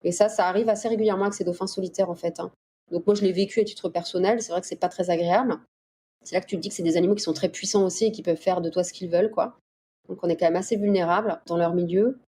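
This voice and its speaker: A middle-aged woman from the Paris region answering interview questions in a casual, explanatory way with hesitant pauses. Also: on the low side, fairly even intonation, mellow. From Paris region, France. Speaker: interview guest, dolphin researcher. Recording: clear background ambient noise.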